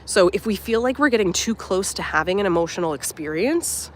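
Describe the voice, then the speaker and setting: A woman's voice: medium pitch, animated, fairly quick, a little hiss or hum. A young woman life coach talking casually and earnestly on a live stream while walking outdoors, slightly nasal from a cold and full of fillers. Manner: warm, earnest, reflective, many fillers like "um" and "like". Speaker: life coach and channel host. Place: outdoors at a resort at sunrise.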